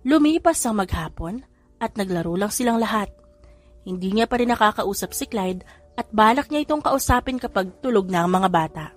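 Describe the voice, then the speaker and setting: A woman narrating a romance audiobook in an even, steady storytelling manner. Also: mid-range voice.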